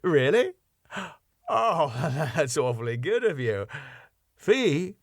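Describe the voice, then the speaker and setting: A man playing an excitable, theatrical club owner. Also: fairly high pitch, takes it slowly, no room sound, studio quality, full character voice. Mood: eager, deferential. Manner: flamboyant, exclamatory. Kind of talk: sitcom script reading.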